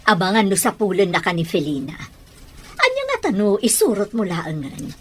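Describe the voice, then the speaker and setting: A woman acting a protective, sharp-tongued, doubting mother in an Ilocano audio drama, with a husky voice. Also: mid-range voice, huge pitch swings, normal speed, a little hiss or hum. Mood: worried, questioning.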